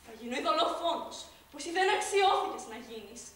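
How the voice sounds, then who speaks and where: Actress doing a bitter young maid, theatrical, high voice, heard from far off in a big echoey room. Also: female voice, expressive. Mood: feverish, mocking. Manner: theatrical stage delivery, swings between mocking laughter and hushed intensity. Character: bitter young maid, feverish, full of hatred and grand dreams. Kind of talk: stage play performance. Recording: distant echoey stage recording.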